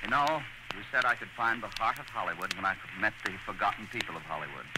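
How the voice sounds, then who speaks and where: A man's voice: fairly low pitch, quick pace. A man hosting a radio show in formal, theatrical 1930s announcing style. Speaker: radio show host and narrator. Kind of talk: 1930s radio announcing, dramatic scripted narration. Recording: noisy vintage radio broadcast.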